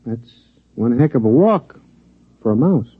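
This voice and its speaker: A man's voice: medium pitch, lively intonation, a little slow, natural voice. A man voicing a weary, matter-of-fact talking mouse in a casual, understated way for a 1950s radio drama. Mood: weary, sheepish. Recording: dull vintage radio recording.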